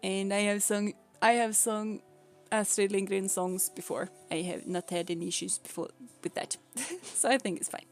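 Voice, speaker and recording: A woman's voice: mid-range voice, steady tone, normal speed, few pauses, faint music behind. A young woman from Sweden with a Swedish accent, chatting casually with viewers on a live stream, laughing often and explaining things patiently.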